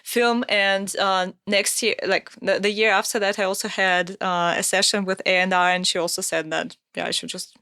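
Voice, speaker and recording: A woman's voice: medium pitch, flat delivery, normal speed, few pauses, pushed and bright, smooth, dry recording, clean studio sound. A woman in her thirties who grew up in Russia, talking casually as a guest musician, with many fillers and restarts. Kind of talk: casual interview conversation.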